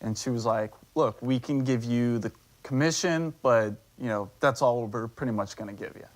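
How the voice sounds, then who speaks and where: Man giving halting interview answers. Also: medium pitch. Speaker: interviewee in a news report.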